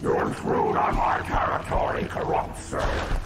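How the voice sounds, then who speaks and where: A man voicing a furious, territorial beast chieftain in a video game, snarling threats with a harsh rasp. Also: unhurried, close to an everyday voice. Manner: roaring threats. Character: furious, territorial monster pack leader, savage and boastful. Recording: music behind.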